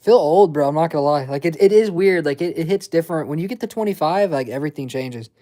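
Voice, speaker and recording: A man's voice: fairly high pitch, expressive, fast, dark tone. Young man, laid-back stream chatter. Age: 20s. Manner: rambling, filler-heavy slang. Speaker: livestream host. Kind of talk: casual livestream chat reading comments.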